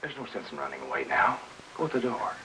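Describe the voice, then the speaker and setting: An actor playing a calm, probing doctor in a 1950s film noir, firm and reassuring in manner. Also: male voice, lowish voice. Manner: measured, questioning, steadying. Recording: hissy, noisy old 1950s film soundtrack.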